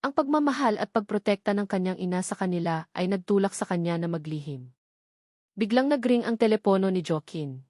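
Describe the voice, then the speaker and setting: A woman's voice: mid-range voice, lively intonation, fairly quick, darkish tone, no room sound, clean studio sound. A woman with a Filipino accent reading a scripted, dramatic story as its narrator.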